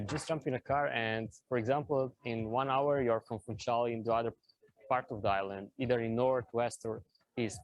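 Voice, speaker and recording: A man's voice: medium pitch, no room sound. A man from Romania with a Romanian accent, giving a casual testimonial as a digital nomad.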